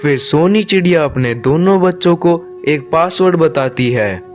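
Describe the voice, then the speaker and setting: A man's voice: fairly high pitch, lively intonation, measured pace, soft background music. A man reading scripted narration as the storyteller of a children's moral story.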